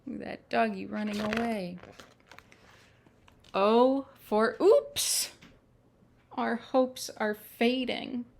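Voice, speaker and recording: A woman reading picture books aloud to young children in simple, measured phrases, with little asides to her listeners. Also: on the high side, vocal fry, a little hiss or hum. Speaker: children's storytime reader. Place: at home.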